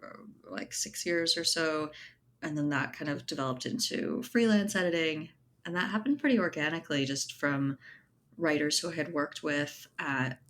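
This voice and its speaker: A woman with a low voice talks casually as an interview guest, with noticeable noise behind her. She is informal and thoughtful, often tagging her points with small checking questions. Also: normal speed, fairly bright tone. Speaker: interview guest, writer and editor. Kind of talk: casual conversational interview talk.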